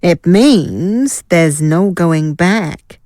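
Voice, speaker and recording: Lady doing a wry self-assured fantasy fighter, lowish voice, audio drama, very dry sound. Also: expressive, drawn-out words, mellow, no room sound. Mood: resolute. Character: Ilaeda, confident wry female guild fighter. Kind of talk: scripted audio drama acting.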